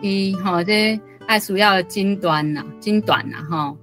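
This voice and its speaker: A woman's voice: mid-range voice, measured pace, a bit bright, soft background music. A woman speaking as a guest commentator, sharing informal reflections in a conversational way. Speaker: guest commentator, book club member.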